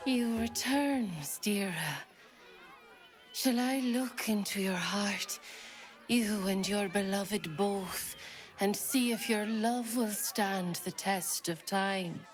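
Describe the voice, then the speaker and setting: Actress as mystical seer woman, very slow, deliberate, formal, solemn, faint music behind. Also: mid-range voice, fairly even intonation, lightly acted. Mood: mysterious. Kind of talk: video game character dialogue.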